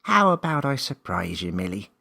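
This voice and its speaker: A man's voice: lowish voice, very animated, gravelly, pushed and bright, slightly rough, full character voice. A man voicing a small magical male companion, encouraging and slightly impatient, in a children's story. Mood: impatient, instructing. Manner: brisk, coaxing, reassuring.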